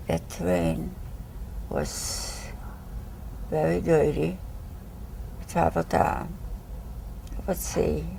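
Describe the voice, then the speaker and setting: A woman in her eighties speaks in very slow, halting, broken English. Her voice is deeply gravelly and sits on the low side. Faint noise lies behind her. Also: warm tone, rough recording. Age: 80s. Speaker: Holocaust survivor interviewee. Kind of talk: recalled testimony.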